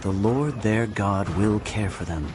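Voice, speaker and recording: An actor playing a grave prophet proclaiming coming doom, narrating dramatized scripture with solemn, emphatic delivery. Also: male voice, fairly low pitch, unhurried, noisy background, lightly acted. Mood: grave proclamation.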